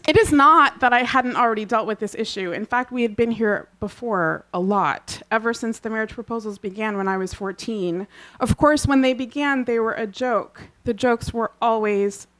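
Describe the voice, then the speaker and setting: Woman from Kansas, first language Urdu, reading her own essay. Slightly high voice, dry humor, clears her throat often. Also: moderate pace, a bit bright. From Kansas, USA. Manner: reads wryly with offhand asides and frequent throat clearing. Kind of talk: reading aloud a personal essay at a book launch. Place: live literary event.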